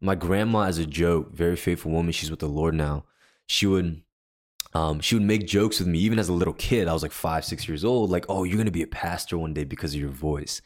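A deep-voiced man, a podcast co-host and worship leader, telling a personal story in casual chat, rambling with many fillers and restarts. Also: a little fast.

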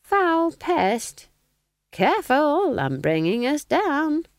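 A sly, cheerful old witch , as a woman narrator puts her on, in a storybook reading. She drawls her answers with mock innocence, her voice pitched high and lively. The sound is close and dry. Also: no room sound, heavily acted. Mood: teasing. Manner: innocent-sounding, teasing, drawn-out.

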